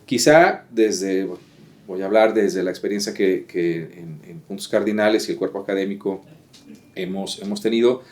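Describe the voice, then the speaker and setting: A man's voice: sits low, moderate pace, a little mellow. A man interviewing a guest, summarizing in formal academic phrasing with many hesitations and fillers.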